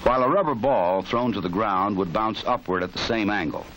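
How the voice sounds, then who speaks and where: Casting: American male narrator, lowish voice, measured and authoritative, vintage training film with clear background noise. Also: a bit bright. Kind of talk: 1960s instructional film scripted narration. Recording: noisy 1960s film soundtrack.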